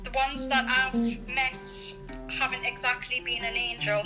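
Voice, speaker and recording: A woman's voice: high voice, little pitch movement, a little slow, music in the background, poor audio. A woman in her early thirties from Newcastle with an English accent, chatting candidly and earnestly about herself. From Newcastle, England. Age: early 30s. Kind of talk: candid personal chat.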